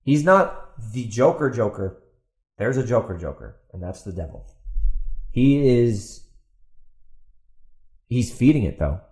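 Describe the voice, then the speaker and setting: A man's voice: medium pitch, big pitch swings, slow, pauses often, a little mellow. Exasperated man ranting solo. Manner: exasperated, sarcastic, emphatic repetition; rhetorical questions put to an absent opponent. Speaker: podcast host and author. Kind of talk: ranting opinion monologue, off the cuff.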